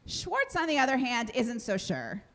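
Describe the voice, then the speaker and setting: A woman rabbi telling a story to her congregation in a conversational, animated way. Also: on the high side, normal speed. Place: synagogue sanctuary.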